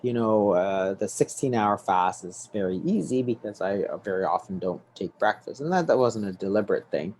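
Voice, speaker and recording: A middle-aged man, a doctor, explaining in a relaxed, conversational way from a home office over a video call, often restarting and checking in with "right?". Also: lowish voice, moderate pace, hardly any pauses, a little hiss or hum. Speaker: guest doctor.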